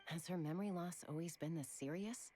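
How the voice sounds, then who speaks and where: A woman's voice: fairly low pitch, a little fast, dark tone, slight background noise, natural voice. A woman voicing a friendly, considerate tribeswoman in a video game, with an easy conversational manner. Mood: friendly, concerned.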